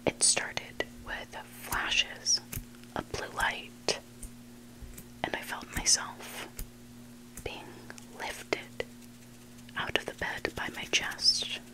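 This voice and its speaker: A person reading stories aloud in a breathy, hushed ASMR whisper, close to the mic. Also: drawn-out words, pauses often, low-quality recording. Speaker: host reading listener-submitted stories. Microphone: close mic, made for headphones.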